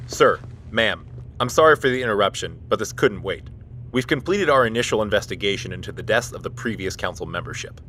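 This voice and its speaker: Formal male military police officer, deepish sharp voice, clipped, stern, audio drama, faint background hum. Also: animated, a little fast. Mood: grave, formal.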